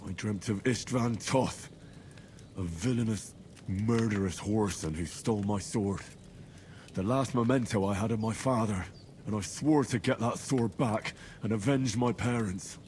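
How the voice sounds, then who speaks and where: A man's voice: on the low side, unhurried, darkish tone, a little hiss or hum, natural voice. An actor playing an earnest young man bent on avenging his parents in a video game, plain-spoken and serious. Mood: grim. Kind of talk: video game cutscene dialogue.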